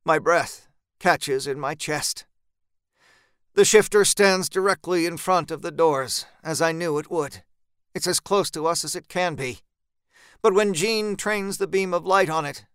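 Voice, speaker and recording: A man reads scripted horror fiction in the first person, tense and dramatic. His voice is smooth and bright, recorded very dry, with deliberate phrasing. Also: on the high side, dry recording. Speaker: story narrator.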